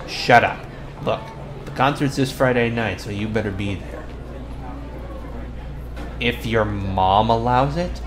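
Casting: male actor as teenage bully, tsundere type, medium-pitched, brusque and bossy, ASMR roleplay over audible background ambience. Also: close to an everyday voice. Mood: bossy, gruff. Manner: brusque, bossy, sighing and muttering. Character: tsundere teenage school bully with a secret soft side. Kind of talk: ASMR boyfriend roleplay acting. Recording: clear background ambience noise.

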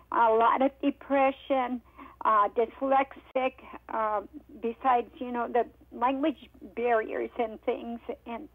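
A woman from the American Midwest, a guest author, telling her life story on a radio program in an earnest, halting way with many fillers, heard over a telephone. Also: sits high, no echo. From Iowa and Missouri, United States. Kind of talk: personal testimony in a radio interview. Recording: tinny phone line, dry.

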